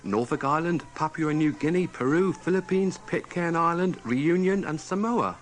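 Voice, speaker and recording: A man's voice: fairly high pitch, normal speed. A man co-presenting a radio show, reading items aloud on air.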